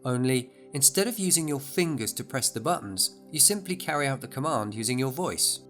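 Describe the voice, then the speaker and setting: Brief: male promo narrator, mid pitch, upbeat explainer, light music bed. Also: animated, fairly quick, fairly bright tone. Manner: enthusiastic, explanatory. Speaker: product narrator.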